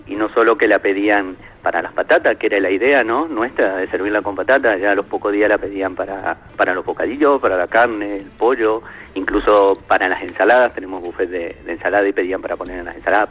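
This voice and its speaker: A man's voice: on the low side, quick pace, a bit bright, husky, lo-fi sound. A man from Argentina with an Argentine accent, talking as a guest about his family's sauce, hesitant and full of fillers and restarts. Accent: Argentine Spanish.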